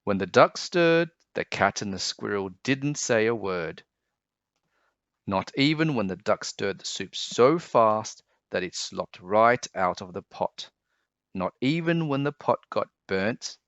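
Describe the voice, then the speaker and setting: A man reading a children's storybook aloud in an expressive, dramatic way. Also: lowish voice, takes it slowly, dry recording, highs cut off.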